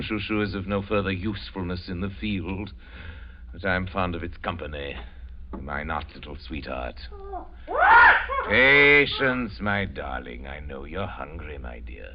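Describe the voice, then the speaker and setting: A very deep-voiced aristocratic hunter, courtly on the surface and menacing underneath. His delivery is grand and theatrical, in the style of forties radio drama. The sound is muffled, like an old broadcast. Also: sing-song, a little slow, slight background noise, full character voice. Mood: doting, sinister. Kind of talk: 1940s radio drama acting.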